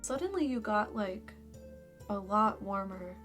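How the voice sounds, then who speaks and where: A woman's voice: mid-range voice, animated, unhurried, fairly bright tone, faint music behind. A woman voicing a brash, prickly female dragon with a brusque, casual way of talking in a fan fiction audio drama. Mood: softened.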